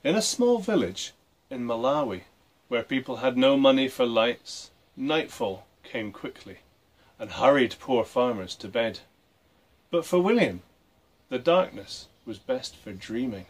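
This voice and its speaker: A man reading a story aloud for children, with expressive, deliberate phrasing. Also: mid-range voice. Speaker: storybook reader and presenter.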